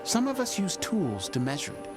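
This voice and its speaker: A calm, wise Qunari elder. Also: male voice, sits high. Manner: measured, formal, no contractions. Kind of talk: video game cutscene dialogue. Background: music.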